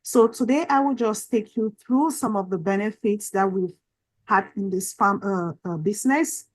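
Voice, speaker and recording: A woman from Cameroon co-hosting an online workshop and introducing herself. She greets warmly and pauses on fillers now and then. Her voice is at a middle pitch. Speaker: workshop co-host.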